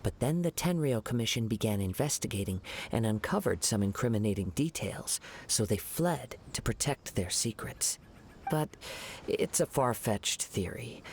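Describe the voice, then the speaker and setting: An actor playing a calm, poetic young wandering swordsman in a video game, speaking in a measured, thoughtful way. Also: medium pitch, slight background noise. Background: game music.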